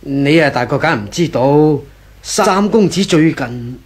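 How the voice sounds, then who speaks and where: A man's voice: fairly high pitch, expressive, measured pace. An actor playing a hesitant, gossiping employee in a 1980s Hong Kong Cantonese radio drama, stammering and sighing as he feigns reluctance. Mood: insinuating.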